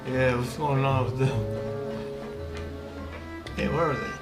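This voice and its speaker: A man's voice: medium pitch, fairly even intonation, slow pace. A man giving casual, offhand commentary as he streams a video game. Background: loud game music.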